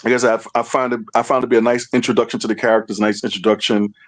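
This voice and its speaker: A man's voice: medium pitch, little pitch movement, very fast. A man giving a casual review as a panelist, hesitant, often repeating phrases as he thinks.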